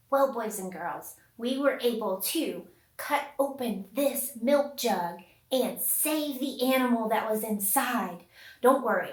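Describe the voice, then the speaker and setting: A woman with a high voice tells an interactive story to small children in a roomy, echoey space. She takes her time, stretching words and pausing dramatically. Her delivery is sing-song and exaggerated, full of questions for the listeners. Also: fairly bright tone. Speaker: children's storytime host with puppets.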